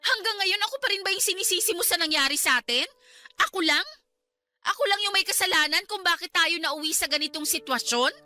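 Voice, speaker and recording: A woman's voice: very high voice, fairly quick, sharp tone, faint music behind, heavily acted. A woman playing a hurt, jealous wife in a Tagalog radio drama, nagging and pleading with emotion. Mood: hurt, angry. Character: hurt, jealous young wife confronting her husband.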